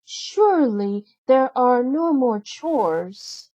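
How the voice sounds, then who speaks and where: A gentle, cheerful young storybook heroine, as a woman reader plays her. The delivery is wishful and sweet, lifted slightly in pitch, with a lilting melody. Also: animated, very slow, dry recording, highs cut off, heavily acted. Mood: relieved hopeful. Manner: gentle, bright-hearted, wishful.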